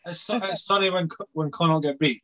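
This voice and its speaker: A young man co-hosting a podcast, mocking his friends with quick-fire jibes in casual banter. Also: fairly high pitch, fairly bright tone, a little hiss or hum. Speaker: podcast co-host.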